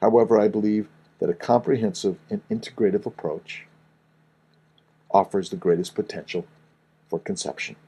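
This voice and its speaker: A middle-aged man reads his own book aloud in a deep, husky voice. He speaks slowly and earnestly, in a soothing way. Each phrase sinks gently at its close. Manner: slow, soothing, earnest delivery with falling phrase endings. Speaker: fertility doctor reading his book.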